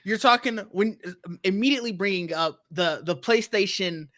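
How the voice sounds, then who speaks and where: A man's voice: high-pitched, normal speed, pushed and bright. A man co-hosting a podcast, talking earnestly and reflectively in casual chat, trailing into fillers. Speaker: podcast co-host.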